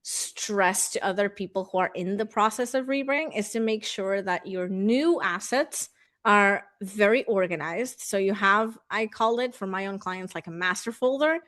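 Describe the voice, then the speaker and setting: A woman's voice: medium pitch, moderate pace, a bit bright. A woman whose first language is Portuguese, a brand designer talking as a guest in a casual chat, with fillers and the odd curse. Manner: chatty, swears casually, thinks aloud with fillers.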